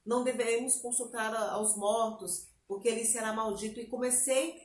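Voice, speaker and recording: A woman's voice: on the high side, steady tone, normal speed, roomy sound. A Brazilian woman with a Bahian accent, telling her conversion story in a casual chat and acting out her own past words. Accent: Bahian Brazilian Portuguese. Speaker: interview guest. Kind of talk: personal testimony, casual chat.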